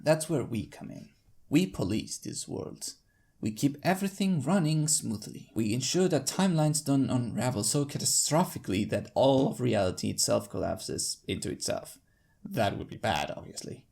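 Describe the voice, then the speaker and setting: Expressive narrator with a gravelly edge. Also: mid-range voice, moderate pace. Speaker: fanfiction narrator. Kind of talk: scripted first-person audiobook narration in character.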